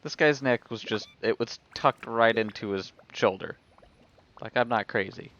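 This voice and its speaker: A man giving casual, wry live commentary as he streams a video game, in a creaky voice. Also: medium pitch, measured pace, fairly bright tone, dull audio. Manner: wry, sarcastic.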